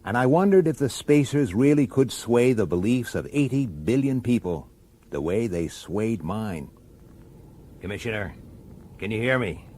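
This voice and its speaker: An actor playing a weary, dogged middle-aged police detective in a science fiction radio drama, pressing and intense. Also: male voice, lowish voice, expressive, unhurried, mellow. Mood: earnest, urgent. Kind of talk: radio drama acting with first-person narration.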